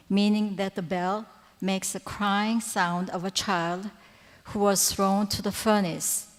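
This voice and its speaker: A woman giving a lecture at a conference, pausing often with fillers and correcting herself. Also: mid-range voice. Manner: hesitant, frequent fillers and self-corrections. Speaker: conference speaker. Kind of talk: lecture presentation. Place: large conference hall. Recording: reverberant hall sound.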